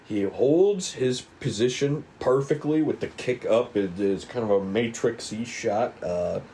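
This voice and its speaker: A man reading a script's stage directions at a table read, casual and halting, with asides. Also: mid-range voice, dark tone, slight background noise. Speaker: script reader.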